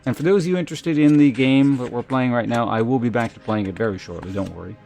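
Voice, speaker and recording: Man, livestream host, medium pitch, casual, halting delivery, faint music in the background. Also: creaky, darkish tone. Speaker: live streamer host. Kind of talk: casual livestream chat and reading aloud.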